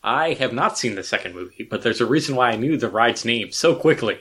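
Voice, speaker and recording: A man co-hosting a podcast, chatting casually with dry, quick remarks. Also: medium pitch, animated, fairly bright tone, breathy edge. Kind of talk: casual chat.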